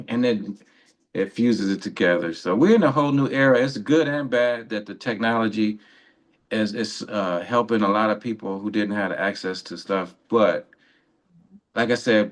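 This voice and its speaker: A man co-hosting a radio interview, talking casually and giving rambling, encouraging advice. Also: on the low side. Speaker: radio co-host.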